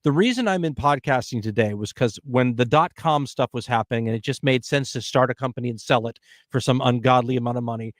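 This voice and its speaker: A middle-aged man hosting a podcast, telling stories in an animated, emphatic way during casual conversation. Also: medium pitch, fast, no room sound, studio quality. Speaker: podcast host.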